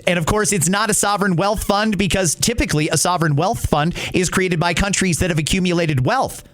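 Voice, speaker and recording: Animated opinionated male talk host. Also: a little high. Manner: opinionated, conversational, emphatic. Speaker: radio talk show host. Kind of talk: reading and answering listener messages.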